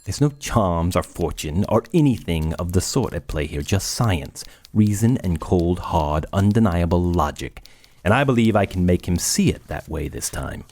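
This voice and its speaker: An actor playing an intense, impatient, obsessive young medical scientist in a horror audio drama, with formal, arrogant diction. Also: male voice, deep voice, expressive, moderate pace, darkish tone. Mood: stern, certain.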